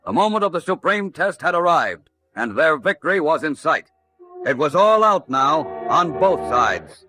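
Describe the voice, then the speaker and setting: A man's voice: a little high, very animated, moderate pace, music in the background, poor audio. A man delivering emphatic, urgent scripted narration in a dramatic 1940s radio style.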